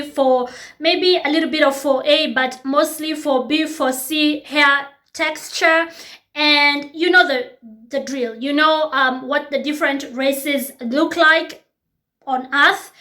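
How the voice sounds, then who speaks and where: Kenyan woman, 30s, vlog host, high voice, earnest, repeats herself for emphasis. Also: bright, forceful tone. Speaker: YouTube host. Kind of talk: reflective personal vlog talk.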